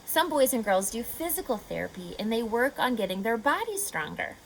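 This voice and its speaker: Expressive, high-voiced woman reading to children. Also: a little fast, slight background noise, low-quality recording. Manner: expressive, instructive, asking questions of young listeners. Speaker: teacher reading a picture book to children. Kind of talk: children's read-aloud with teaching asides.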